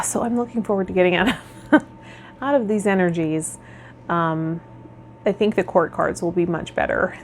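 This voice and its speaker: A woman with a fairly low voice presents in the manner of a spiritual vlogger. Her delivery is measured and instructive. There is noticeable background noise in the recording. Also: a little mellow. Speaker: host of a tarot and spiritual healing channel. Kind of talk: tarot vlog, part read-out guidance, part informal talk.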